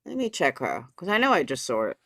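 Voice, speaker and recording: A woman's voice: deep voice, huge pitch swings, fast, a bit bright. A woman giving casual live commentary, blunt and gossipy, with plenty of swearing. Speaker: commentary streamer.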